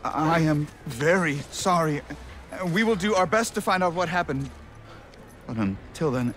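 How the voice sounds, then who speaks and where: A man's voice: on the high side, expressive, moderate pace, noisy background, close to an everyday voice. An actor playing a theatrical, polished showman illusionist with a grandiose manner in a video game. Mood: apologetic. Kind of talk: stage showman's pitch in a video game cutscene.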